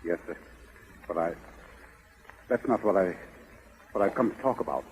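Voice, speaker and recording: An actor playing a timid, guilt-ridden employee in 1930s radio comedy, hesitant, stammering and apologetic. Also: male voice, low-pitched, moderate pace, husky, big-room sound. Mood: nervous, guilty. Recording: muffled, crackly 1930s radio broadcast.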